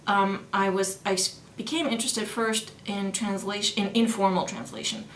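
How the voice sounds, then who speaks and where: A woman's voice: mid-range voice, fairly even intonation, moderate pace, fairly bright tone. A middle-aged woman, a German studies professor born in New York and raised in Vienna, explaining her research thoughtfully in an interview, with many fillers and restarts. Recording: roomy sound with faint background noise.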